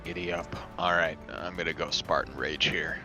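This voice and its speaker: A man chats through his turn in a tabletop game, relaxed and a bit halting. His voice is extremely deep. Music plays clearly in the background. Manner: laid-back, hesitant, swears casually. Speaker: player. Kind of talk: casual tabletop game chat.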